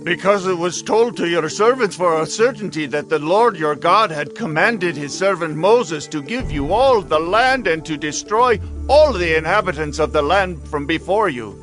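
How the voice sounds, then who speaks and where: A man's voice: on the high side, animated, moderate pace, hardly any pauses, fairly bright tone, faint music behind. A man playing a humble, anxious envoy in a dramatized audio Bible, pleading earnestly. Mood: fearful, submissive.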